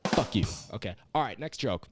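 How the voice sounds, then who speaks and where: A man's voice: lowish voice, lively intonation, normal speed, slightly rough, no echo, clean studio sound, faint music behind. A young adult man co-hosting a casual comedy podcast, swearing often, stumbling over his words and poking fun at himself. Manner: profane, stammering, self-deprecating. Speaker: podcast co-host.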